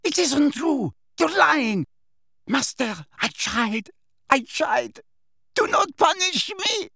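A man voicing a fanatical dark witch for a storybook, cruel and taunting, with a shrill, raspy, theatrical delivery. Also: very animated, unhurried, dry recording, clean studio sound, full character voice. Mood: frantic, desperate. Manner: theatrical, menacing.

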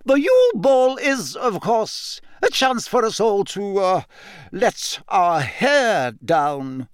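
A man's voice: very high voice, huge pitch swings, measured pace, no echo, pitched above the performer's own voice, full character voice. A stern older schoolmistress, put on by a man reading aloud, with a raised, clipped voice that swoops up sharply on commands. She speaks firmly and disapprovingly, the sound dry and close, as on an audiobook. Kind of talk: audiobook character voice.

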